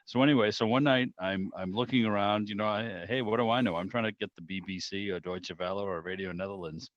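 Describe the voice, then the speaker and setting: Middle-aged male host, deep voice, rambling animated storyteller in casual chat, slightly muffled video-call sound. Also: fast, no echo. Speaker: club meeting host, interviewer. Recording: dull, lo-fi video-call audio.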